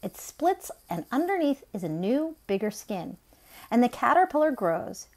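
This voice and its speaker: A middle-aged woman reading a children's nonfiction picture book aloud as story time host, in an expressive, deliberate way. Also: on the high side.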